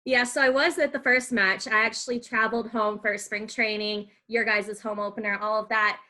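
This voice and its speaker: A woman's voice: fairly high pitch, little pitch movement, normal speed, sharp tone. A woman hosting a casual sports podcast, asking questions in an informal, conversational way. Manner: conversational, informal, uses y'all. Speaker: podcast host and interviewer. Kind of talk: casual sports interview chat.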